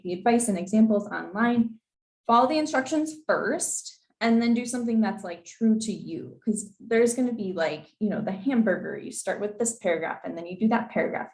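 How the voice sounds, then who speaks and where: A woman's voice: mid-range voice, echoey room, poor audio. A young woman who is losing her voice, presenting an informal info session in a chatty way with many fillers. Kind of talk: informal advice talk with slides.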